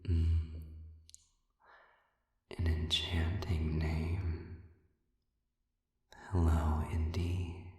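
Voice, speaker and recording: A man playing a sadistic, silver-tongued demon prisoner in an audio roleplay, speaking in formal, mock-courteous phrases with a breathy, whispery menace. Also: a bass voice, flat delivery, very slow, pauses often, a little mellow, heavily acted. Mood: silky menace. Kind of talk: scripted audio roleplay addressed to the listener. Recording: very echoey, cavernous reverb, distant.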